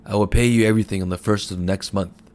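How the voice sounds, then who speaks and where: A man's voice: on the low side, steady tone, rapid-fire, darkish tone, lightly acted. A man voicing a poor, weary village man of about fifty who speaks meekly and pleads. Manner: meek, pleading.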